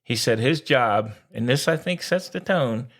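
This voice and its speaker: American guy on a sports podcast, blunt and casual, bit of salty slang, normal pitch voice. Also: animated, unhurried. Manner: blunt, informal, mildly crude slang.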